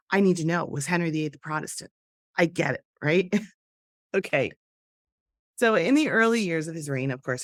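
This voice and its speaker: A hoarse woman hosting a history podcast, talking casually and with enthusiasm straight to her viewers about her topic. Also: low-pitched, no room sound, studio quality. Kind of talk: casual educational talk to camera.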